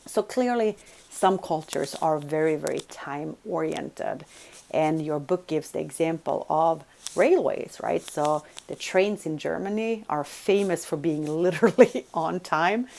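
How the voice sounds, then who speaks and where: A woman gives a recorded college lecture. Her voice sits low, with a gravelly, creaky edge. She explains step by step and often checks in with "right?". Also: unhurried, warm tone, a little hiss or hum. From Sweden. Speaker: business communication lecturer.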